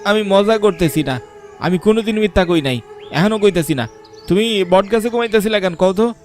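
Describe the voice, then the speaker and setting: An anxious village husband from an animated folk tale, put on by a man in a raised pitch. He pleads and insists in colloquial Bangladeshi Bengali, exasperated, over faint music. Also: moderate pace, natural voice. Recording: music behind the voice.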